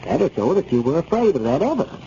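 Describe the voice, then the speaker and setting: An actor playing a shrewd, level-headed village merchant who explains things briskly in a rural Arkansas drawl. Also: male voice, fairly high pitch, big pitch swings, dark tone, slight background noise. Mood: explaining. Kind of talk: 1930s radio comedy acting. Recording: muffled 1930s radio broadcast.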